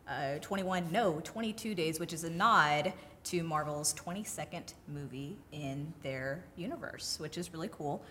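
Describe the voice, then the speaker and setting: A woman with a notably low voice talks as a podcast co-host. She recaps and discusses films in a casual, conversational way. Some background noise sits under her voice. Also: a bit bright.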